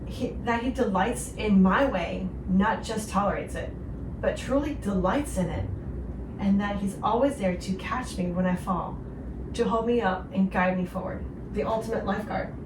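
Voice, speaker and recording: A woman's voice: mid-range voice, normal speed. Echoey, distant woman hosting devotional radio. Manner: earnest, gentle encouragement. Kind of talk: devotional radio talk with co-host. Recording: roomy echo, distant, clearly noisy.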